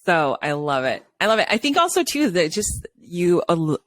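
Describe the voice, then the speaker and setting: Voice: mid-range voice, big pitch swings, normal speed, fairly bright tone. A podcast host from Brazil with a light Brazilian accent, chatting upbeat and gushing with praise in a casual interview.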